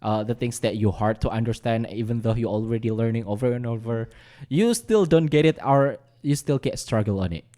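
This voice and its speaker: Indonesian male radio host, chatty, mid-pitched, mixes languages. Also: brisk, few pauses, warm tone. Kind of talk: radio interview.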